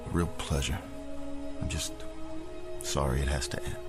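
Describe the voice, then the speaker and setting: Real deep guy being a kind soft santa, music behind. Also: moderate pace. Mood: gentle. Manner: gentle, warm-hearted. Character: kindly man playing Santa Claus. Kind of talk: radio drama acting.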